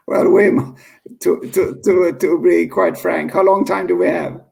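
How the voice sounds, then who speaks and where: A man's voice: sits high, steady tone, creaky, a crowd in the background. A man in his fifties from Sweden with a Swedish accent, speaking as a guest in a casual interview, often restarting and correcting himself as he goes. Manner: halting, many restarts and self-corrections, laughs easily.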